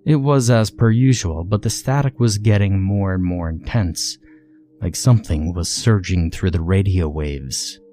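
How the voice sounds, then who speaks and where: Male narrator for scripted horror fiction: deep, soft-edged tone, deliberate dramatic pacing of phrases, light music underneath. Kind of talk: scripted first-person creepypasta narration.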